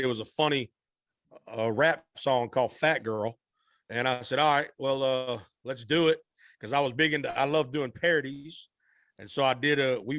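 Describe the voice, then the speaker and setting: A man from Arkansas in his thirties, talking as a podcast guest in a loose, folksy, rambling manner. His pitch sits in an ordinary middle range. The sound is dry and close, with no room around it. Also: a bit bright, no echo. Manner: rambling, self-correcting, folksy. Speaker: guest singer. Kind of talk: relaxed conversational storytelling.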